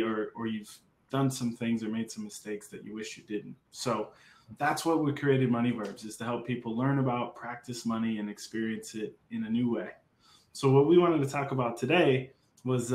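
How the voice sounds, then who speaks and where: A man's voice: medium pitch, a little hiss or hum. A man moderating a panel on a video call, friendly and upbeat. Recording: echoey room.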